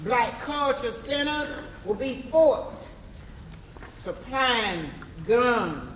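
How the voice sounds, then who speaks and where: A woman reciting theatrical spoken word in a deliberate, declamatory manner. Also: fairly high pitch, animated. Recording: echoey hall, distant mic, audience noise.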